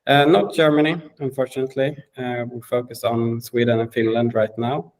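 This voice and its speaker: A man's voice: medium pitch, level tone, moderate pace, darkish tone, poor audio. A man speaking as a guest on a webinar, answering questions with lots of fillers and hesitation. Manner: hesitant, many fillers, restarts. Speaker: CEO guest. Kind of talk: casual webinar interview.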